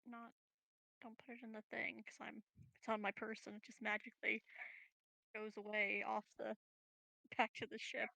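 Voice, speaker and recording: A woman's voice: fairly high pitch, monotone, moderate pace, creaky, pauses often, bright, forceful tone, no echo, low-quality recording. A woman playing a tabletop role-playing game, chatting casually as she decides her moves.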